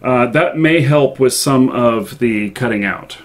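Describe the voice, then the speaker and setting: A man hosts a live online show in a casual, chatty way. His voice sits at a middle pitch. He speaks haltingly, feeling his way through each sentence. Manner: halting, hesitant delivery. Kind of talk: casual livestream chat.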